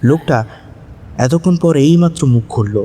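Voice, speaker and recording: A man narrating a dramatic audio story, with measured, suspenseful phrasing. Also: on the high side, animated, dark tone.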